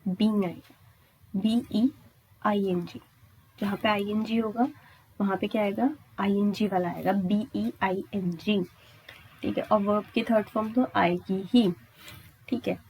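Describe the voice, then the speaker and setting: A woman's voice: medium pitch, fairly even intonation, a little slow, long pauses, a little mellow, a little hiss or hum, low-quality recording. An Indian woman teaching grammar step by step, repeating points and answering her own questions with frequent check-in tags. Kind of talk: exam-prep lesson at a board, explaining step by step.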